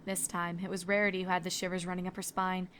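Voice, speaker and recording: A woman's voice: fairly low pitch, level tone, fast talker, a bit bright, a little hiss or hum. A woman reading scripted third-person narration for a fan fiction story. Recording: background music under the voice.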